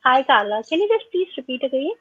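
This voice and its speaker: A woman's voice: sits high, big pitch swings, quick pace, fairly bright tone, no room sound. A woman, a programme participant, speaking politely over an unstable video call. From India. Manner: polite, asks to repeat. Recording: tinny unstable call line.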